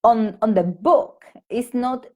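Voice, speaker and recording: A woman's voice: fairly high pitch, takes it slowly, dull audio. A woman in her thirties from Peru with a Peruvian Spanish accent, chatting casually on a home live stream to English teachers, full of fillers and restarts. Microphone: laptop or phone mic on a live stream.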